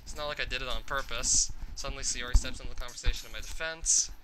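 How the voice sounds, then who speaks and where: A game player reading a visual novel's narration aloud in an expressive storytelling style. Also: medium pitch, quick pace, music in the background, rough recording.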